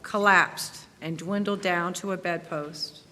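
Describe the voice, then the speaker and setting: Female narrator, slow and dramatic, reading in a big reverberant hall over heavy noise. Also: on the low side, fairly even intonation. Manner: measured, dramatic storytelling. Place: church, staged reading.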